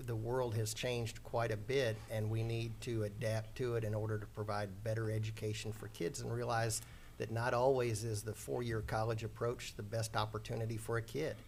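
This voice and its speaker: A low-pitched man answers at a candidate forum. He speaks in an even, narrow tone, measured and orderly with a few fillers. Faint room noise runs underneath. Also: creaky. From Missouri, USA. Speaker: school board candidate.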